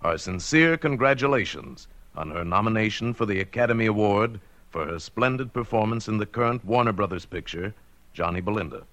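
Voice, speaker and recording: A man's voice: fairly low pitch, animated, a little fast, fairly bright tone. An American man hosting a 1940s radio show, making formal, courtly scripted announcements on a vintage broadcast.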